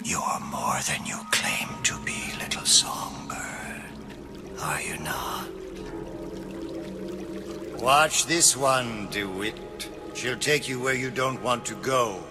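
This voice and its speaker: An actor playing a tyrannical, volatile mad artist in a video game, theatrical and grandiose, swinging between whispers and shrieks. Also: male voice, on the low side, sing-song, takes it slowly, full character voice. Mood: sly, menacing. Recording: loud music behind the voice.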